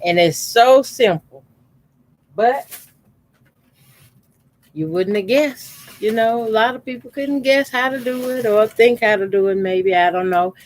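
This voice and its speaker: A man in his fifties, a quilting channel host, calmly talking through a how-to demonstration at his sewing machine with his voice deliberately kept low. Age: 50s. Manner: talks unhurriedly while sewing, deliberately keeping his voice lowered.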